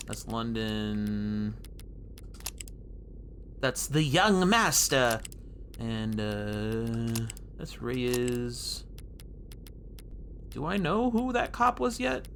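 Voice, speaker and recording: A man's voice: mid-range voice, animated, very slow, long pauses, fairly bright tone, slight background noise. A man giving casual playthrough commentary as a game streamer, playfully musing aloud as he goes. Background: game music.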